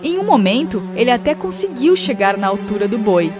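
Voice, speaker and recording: A Brazilian woman narrates a children's fable from a script. Her voice sits fairly high, and clear music plays behind her throughout. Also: sing-song, fairly quick.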